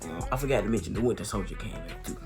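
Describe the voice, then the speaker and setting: A young man with an American accent giving a casual, slangy movie recap with emphatic, excited retelling. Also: fairly low pitch, rapid-fire, over music. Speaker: movie reviewer.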